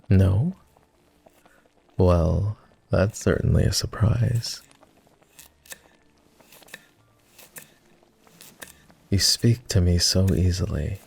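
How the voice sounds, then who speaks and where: A man plays a courteous vampire with a very low, gravelly voice. He speaks in formal, measured phrases, slowly and softly, as in an intimate ASMR roleplay. He is gently teasing and modest about himself. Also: heavily acted. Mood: warm, admiring. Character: polite, self-deprecating, gently teasing ancient male vampire.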